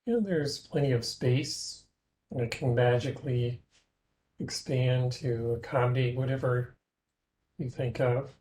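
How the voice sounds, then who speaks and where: A man guides a meditation in a very soft-edged, dark and mellow voice at a middling pitch. He speaks gently and in measured phrases, leaving plenty of space between them as he invites the listener to imagine. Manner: gentle, measured, with long pauses.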